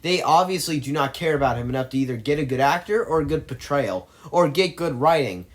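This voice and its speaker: A man's voice: on the high side, lively intonation, a little fast. A man in an opinionated movie commentary, arguing his case emphatically and rambling through restarts.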